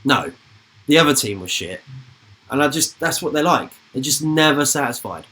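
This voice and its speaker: A man's voice: mid-range voice, lively intonation, moderate pace, pushed and bright. A young man, hosting a football channel's live stream in a rambling, informal chat that slips into casual swearing.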